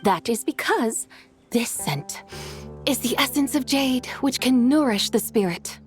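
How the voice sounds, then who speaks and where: A woman playing a courteous, wise and ancient mystical lady in a video game, speaking with measured formality. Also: sits high, lively intonation, faint music behind. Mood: serene, whimsical. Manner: formal, measured, archaic politeness. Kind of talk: video game character acting.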